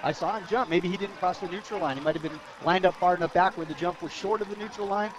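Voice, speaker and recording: Fast high-voiced American football analyst. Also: male voice. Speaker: radio color commentator. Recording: dull lo-fi outdoor radio broadcast.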